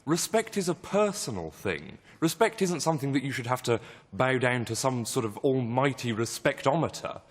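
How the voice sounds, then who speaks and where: A man's voice: medium pitch, very animated, moderate pace, few pauses. A man in a studio audience giving his opinion earnestly. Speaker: audience member. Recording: studio hall reverb.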